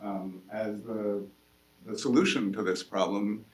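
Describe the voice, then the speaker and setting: A man in his sixties speaking on a panel discussion, thoughtful and pausing with frequent ums and restarts. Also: on the low side, fairly even intonation. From United States. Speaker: panelist, sociology professor. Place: university event hall. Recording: roomy hall heard from far off, faint noise.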